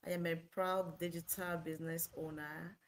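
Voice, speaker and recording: Low-toned woman from Nigeria, earnest. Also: little pitch movement, moderate pace. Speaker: co-presenter. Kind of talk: reading points on a live online talk.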